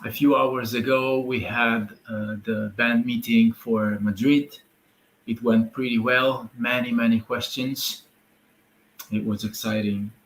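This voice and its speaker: A man with an Italian accent hosting a live online meeting, talking in a hesitant, halting way and switching between Spanish and English. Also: mid-range voice, fairly even intonation, fairly bright tone, roomy sound, rough recording. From Italy. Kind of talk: livestream briefing.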